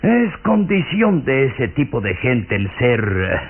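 A man's voice: mid-range voice, big pitch swings, slow pace, creaky, full character voice. An actor playing a pompous, scornful old aristocratic father in a radio drama. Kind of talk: Mexican radio drama acting. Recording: muffled old radio recording.

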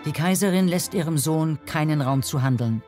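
A woman's voice: sits low, normal speed, music in the background. A woman reading scripted narration as a documentary narrator.

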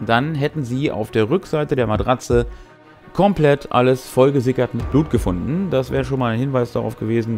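A middle-aged man with a creaky, gravelly voice who is a little sick and tired. He talks as a game master recapping a session in a casual, colloquial way, pausing for effect. Music plays under his voice. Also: medium pitch, a little mellow. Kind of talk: casual recap of a tabletop role-playing game to camera. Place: small furnished room with brick wall.

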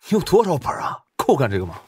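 A man's voice: a little high, huge pitch swings, a little fast, slightly rough, no echo, studio quality, heavily acted. A man playing an easygoing, hesitant working man and loyal buddy, talking colloquially in an audiobook drama.

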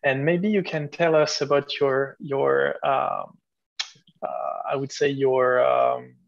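A man's voice: mid-range voice, little pitch movement, slow, vocal fry, dry recording. A man moderating an online webinar question session, polite and inviting. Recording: dry, dull lo-fi video call audio.

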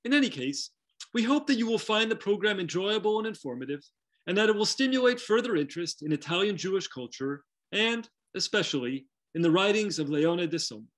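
High-voiced middle-aged man giving a scripted lecture. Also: lively intonation, fairly quick. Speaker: presenter, lecturer. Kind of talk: lighthearted scholarly lecture, scripted narration. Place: home study with bookshelves. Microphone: video call.

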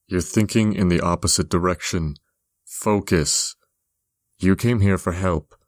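Woman as a very deep, slow fire-man bartender. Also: darkish tone, no echo, studio quality, heavily acted. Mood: patient, firm. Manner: firm, deliberate, matter-of-fact. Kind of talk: fan comic dub acting.

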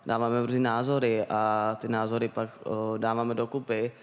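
A young man from the Czech Republic giving halting, hesitant panel remarks into a handheld microphone on a conference stage. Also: medium pitch, monotone. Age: young adult. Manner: hesitant, halting, repeats words. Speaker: self-advocate and panel speaker. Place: on stage in a large conference hall. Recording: reverberant conference hall sound.

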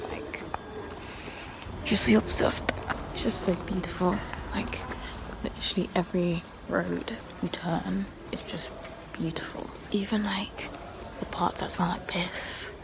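A woman's voice: fairly low pitch, little pitch movement, a little slow, creaky, rough recording. A young woman chatting casually as a travel vlogger, full of fillers and often dropping to a whisper. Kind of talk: casual vlog chat.

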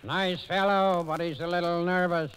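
A man delivering scripted 1940s radio comedy as a sour, deadpan guest. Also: high voice, normal speed. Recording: noisy 1940s radio disc.

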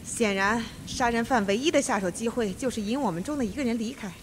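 Woman playing a composed, highish-voiced judge. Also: noisy background, lightly acted. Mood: guarded, firm. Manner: measured, firm. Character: composed, authoritative judge, cautious and methodical. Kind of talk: Mandarin radio mystery drama acting.

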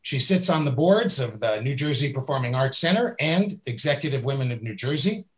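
A middle-aged man hosts an interview over a video call and reads his guest's introduction. His tone is upbeat and admiring, and his voice sits at an ordinary middle pitch. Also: lively intonation, a little fast. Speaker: interview host. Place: indoors with a virtual background.

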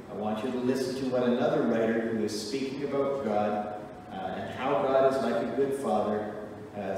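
Earnest middle-aged pastor in an echoey hall. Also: male voice, fairly low pitch, noisy background. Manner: earnest, emphatic preaching with measured pauses. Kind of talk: sermon. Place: church sanctuary, at a wooden pulpit. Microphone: pulpit mic, recorded from a far camera. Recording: echoey church hall from far off.

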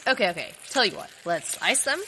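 A woman's voice: mid-range voice, sing-song, brisk, bright, forceful tone, long reverb tail, a little hiss or hum. A woman playing a mock-formal, philosophizing gang henchman in a comic audio drama. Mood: musing. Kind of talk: audio comedy drama acting.

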